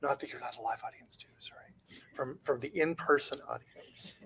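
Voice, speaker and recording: A man's voice: medium pitch, fairly quick, fairly bright tone, slight background noise. A man moderating a book panel, chatty and upbeat as he takes questions.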